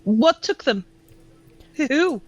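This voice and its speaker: A woman playing a tabletop game, asking quick, direct questions in character. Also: high-pitched, animated. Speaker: player.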